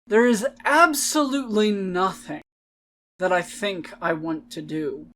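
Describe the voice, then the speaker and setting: A woman voicing a bored, weary child in a dramatic poem reading, deadpan and listless with drawn-out words. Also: mid-range voice, natural voice. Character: bored, weary child oblivious to wild wonders.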